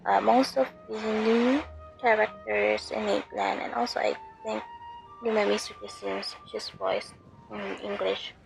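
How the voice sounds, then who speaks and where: A woman talks quietly over her gameplay, keeping her voice low so as not to wake anyone at home. She speaks very slowly with a heavily gravelly, creaky tone and many hesitant fillers. Faint game music runs underneath. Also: flat delivery, rough recording. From Philippines. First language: Tagalog. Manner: soft, lowered voice, slow, many fillers. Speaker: game streamer. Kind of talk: casual commentary over gameplay. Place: home room late at night. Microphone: headphone mic.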